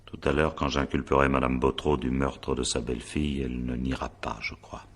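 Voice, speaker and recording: An actor playing a stern, methodical examining magistrate in a radio drama, questioning insistently with weighty pauses. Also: male voice, rumbling low, little pitch movement. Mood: grave, resigned. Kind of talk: French radio drama acting.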